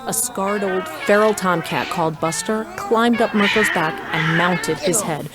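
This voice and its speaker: A woman's voice: on the low side, measured pace, over loud music. A woman reading scripted story narration for an audio drama. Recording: noisy ambient sound effects.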